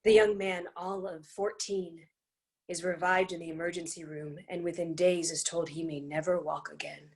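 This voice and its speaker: A woman's voice: lowish voice, steady tone, moderate pace, a little mellow. A woman reading scripted dramatic narration and stage directions for a play.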